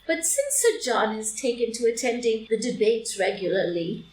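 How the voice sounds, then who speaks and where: A woman's voice: fairly high pitch, big pitch swings, moderate pace, darkish tone. A woman playing an elderly, genteel society lady who rambles confidentially and is easily scandalised, in a live reading of a period comedy. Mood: fussily indignant. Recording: roomy, distant mic, faint noise.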